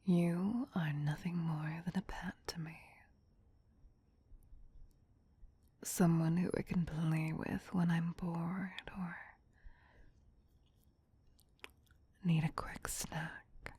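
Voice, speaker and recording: A woman voicing a possessive, tender vampire queen in a breathy, soothing, intimate whisper for an ASMR roleplay. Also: fairly low pitch, flat delivery, slow, dark tone, heavily acted. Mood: doting, condescending.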